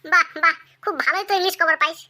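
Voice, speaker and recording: Squeaky, very high cartoon female. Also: steady tone, quick pace, pushed and bright, heavily acted. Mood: curious, coy. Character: young female: doting mother or shy village schoolgirl. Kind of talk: comic cartoon dialogue.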